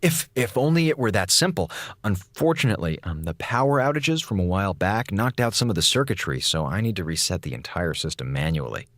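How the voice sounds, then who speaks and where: An actor playing a weary, sarcastic communications officer on a space station in a radio drama, wry and melodramatic. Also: male voice, low-pitched, lively intonation, fairly quick, fairly bright tone, dry recording, studio quality. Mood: evasive. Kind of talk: radio drama acting, log narration.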